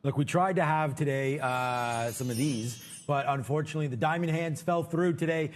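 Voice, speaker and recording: A man's voice: on the high side, moderate pace, faint music behind. A man co-hosting a live trading show, giving casual market commentary in an informal, chatty way straight to viewers.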